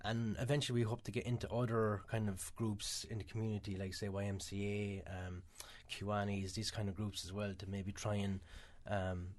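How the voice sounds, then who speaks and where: A man with an Irish accent, talking quickly and chattily as a radio guest, with many filler sounds. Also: on the low side, flat delivery, darkish tone. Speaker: guest from a mental health charity. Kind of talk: radio interview answers.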